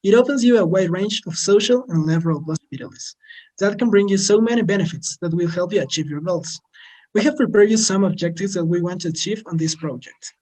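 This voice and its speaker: A man's voice: high voice, a little fast, a little mellow, low-quality recording. A male moderator reading a prepared project introduction in a formal, scripted manner over a video call. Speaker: webinar moderator.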